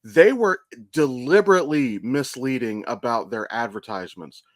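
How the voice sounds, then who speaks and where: An American man co-hosting a podcast, chatting casually and telling stories in a blunt, animated way with plenty of swearing. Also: mid-range voice, normal speed, no echo, clean studio sound. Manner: blunt, profane, animated storytelling. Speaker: podcast co-host. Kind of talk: casual chat.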